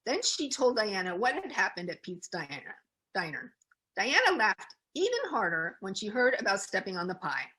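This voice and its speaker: Lady reading a kids storybook out loud, very animated, voice goes up and down a lot. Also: medium pitch, normal speed, rough recording. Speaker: children's storyteller.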